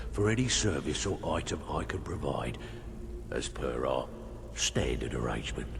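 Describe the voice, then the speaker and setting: A sly underworld fence for a fantasy game, in a cavernous reverb with noise behind. His very low voice lingers on words with shrewd relish. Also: full character voice. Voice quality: very deep, gravelly. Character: shady older male fence, shrewd dealer paying assassins. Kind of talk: video game character acting. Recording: long reverb, clear background noise.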